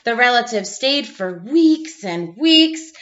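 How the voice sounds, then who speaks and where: A woman's voice: on the high side, lively intonation, takes it slowly, fairly bright tone, highs cut off, a little hiss or hum. A woman teacher reading a storybook aloud to pupils, expressively, drawing out phrases for emphasis.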